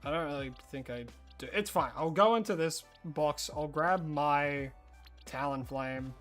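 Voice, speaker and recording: An Australian streamer chatting casually while gaming, rambling and excitable, breaking into high squeals of surprise. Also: unhurried, soft background music.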